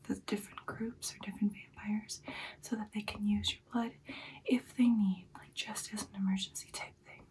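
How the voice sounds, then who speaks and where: A woman playing an affectionate, caring vampire girlfriend in a whispered ASMR roleplay, speaking softly and reassuringly, slightly off the mic. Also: mid-range voice, far from the mic, close to an everyday voice, poor audio. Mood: gentle, explaining. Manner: soft, soothing, reassuring personal attention.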